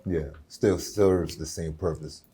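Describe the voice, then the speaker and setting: Man, very deep voice, husky and raspy, energetic talker, casual interview. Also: slow pace. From Virginia. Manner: aggressive, energetic delivery. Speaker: rapper guest.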